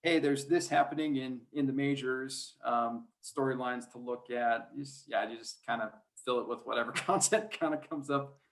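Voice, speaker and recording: A man's voice: mid-range voice, normal speed, gravelly, hardly any pauses. A man speaking as an interview guest, a sports announcer telling anecdotes in an easygoing, chatty, self-deprecating way. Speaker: podcast guest, high school sports play-by-play announcer.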